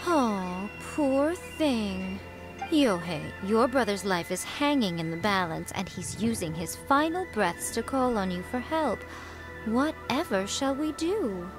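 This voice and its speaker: A woman's voice: mid-range voice, expressive, slow pace, music in the background. A woman voicing a sly, teasing fox shrine maiden in a video game, composed, theatrical and probing. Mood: mock pity.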